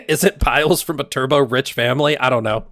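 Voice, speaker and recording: A man streaming and ranting casually, heated and exasperated, swearing often, his voice going hoarse. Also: mid-range voice, fairly quick, fairly bright tone, no echo. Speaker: game streamer. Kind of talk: casual livestream rant.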